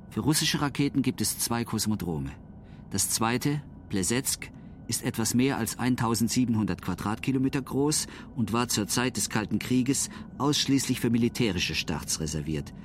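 Mid-pitched male documentary narrator. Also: slight background noise. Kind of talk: scripted radio documentary narration.

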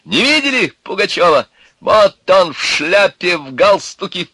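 A man voicing a furious eccentric in a dramatized story, shouting and sarcastic, ranting with spiteful, defiant glee. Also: high voice, very animated, a little slow, husky, no echo, pitched above the performer's own voice, full character voice. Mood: gleeful ranting.

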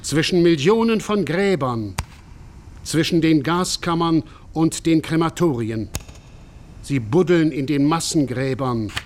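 A man's voice: on the high side, animated, a little slow. A man delivering literary, scripted narration as a narrator. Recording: faint ambient background noise.

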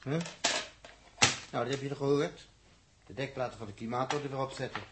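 On a slightly noisy vintage radio recording, a man plays a grumbling astronaut. His voice sits at a middle pitch, and his delivery is blunt and irritable, in sixties radio-serial style. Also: a little fast, close to an everyday voice. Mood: curt. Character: grumbling, blunt male space crewman. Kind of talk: 1960s Dutch radio drama acting.

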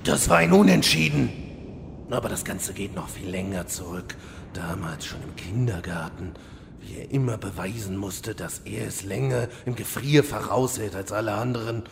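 Man playing a gravelly, fussy demon lord in reverb. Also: lowish voice, moderate pace, background noise. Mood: envious, petulant. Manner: theatrical, exasperated ranting. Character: fussy, insecure demon lord, vain and envious. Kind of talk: German audio drama parody acting.

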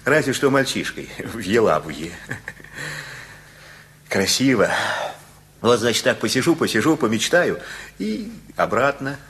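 An actor in a radio play playing an aging, once gruff father, now softened and wistful, who rambles reflectively in folksy phrases. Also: medium pitch, animated, unhurried, pauses often. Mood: musing, weary. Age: older.

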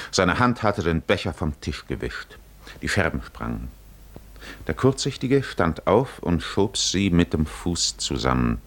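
An actor playing a solemn storyteller, narrating an ancient epic with deliberate drama in a 1950s German radio play. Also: male voice, low voice, a bit bright, full character voice.

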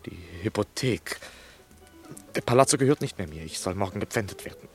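A man's voice: on the low side, expressive, a little fast, breathy edge, soft background music. An actor playing a desperate middle-aged baron in debt, pleading theatrically and stumbling over his words in a radio play.

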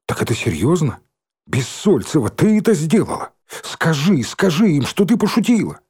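A man's voice: mid-range voice, very animated, fast, breathy edge. A man voicing an earnest, frightened schoolboy in an audiobook, pleading in alarm.

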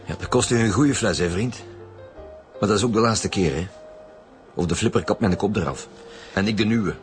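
An actor playing a gruff, exasperated middle-aged homicide squad leader, blunt and colloquial, with a Flemish accent in a radio drama. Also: male voice, fairly low pitch, moderate pace, natural voice. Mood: scolding. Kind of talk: naturalistic radio drama dialogue. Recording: radio drama with music bed.

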